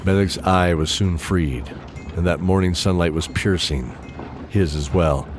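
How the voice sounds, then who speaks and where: A man narrating a fantasy audio drama in a theatrical, deliberate style with measured pauses. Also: sits low, noisy background. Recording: loud music under the voice.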